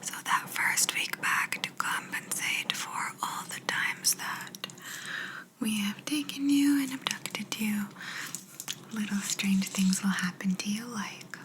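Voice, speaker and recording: A woman's voice: medium pitch, lightly acted, rough recording. Whispering, smug female alien examiner played by a woman. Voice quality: soft, breathy whisper. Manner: unhurried, fussy, mildly condescending asides. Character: smug, chatty female alien examiner, clinical yet reassuring. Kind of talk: ASMR personal-attention sci-fi roleplay.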